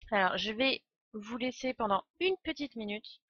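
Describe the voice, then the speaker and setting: A woman's voice: a little high, lively intonation, normal speed, fairly bright tone, breathy edge, no echo. A woman streaming a video game, chatting informally and jokingly as she thinks aloud. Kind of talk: casual live game commentary. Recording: dull, slightly lo-fi stream audio.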